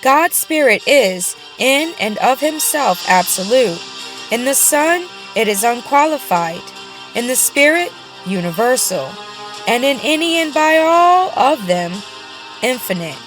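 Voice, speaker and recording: High-pitched woman reading scripture, music behind. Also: very animated, a little slow, low-quality recording. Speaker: audiobook reader. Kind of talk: scripted reading of a religious book.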